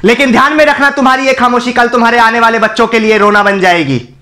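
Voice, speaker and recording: A condemned man, acted out by a male performer, delivers a defiant film monologue to an apathetic crowd. His voice is high for a man and forceful, with a sharp edge. He speaks theatrically and with bitter scorn, leaning on repeated phrases and dramatic pauses. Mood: stern, angry.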